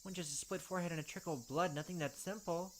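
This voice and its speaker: A man reading a visual novel's text aloud as a let's play narrator, dramatic, with quick asides of his own. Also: high-pitched, fairly even intonation, slight background noise.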